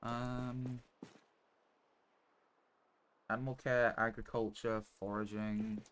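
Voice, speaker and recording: A man's voice: mid-range voice, little pitch movement, slow, a bit bright. A man giving casual live gameplay commentary as a streamer, muttering to himself as he plays. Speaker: game streamer. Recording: clear background noise, clicks.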